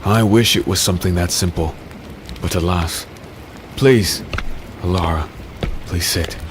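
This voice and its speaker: An actor playing a weary, haunted warrior on the run in a dark fantasy audio drama, brooding and dramatic in delivery. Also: male voice, low-pitched, measured pace, background noise. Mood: urgent, pleading. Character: haunted warrior fugitive, weary, grim, dreading a tyrant's vengeance. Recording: music behind the voice.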